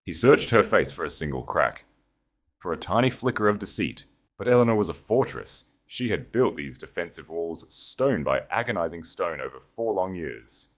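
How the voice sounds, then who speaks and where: Male audiobook narrator, very low voice, dramatic, wide-ranging delivery. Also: expressive, moderate pace. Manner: intense, dramatic storytelling.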